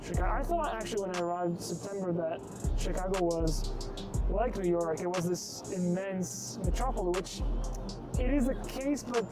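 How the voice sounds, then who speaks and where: Italian man talking over loud music. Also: high-pitched, moderate pace. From Italy. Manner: thoughtful, hesitant with fillers and restarts. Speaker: interviewee. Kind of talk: casual conversational interview.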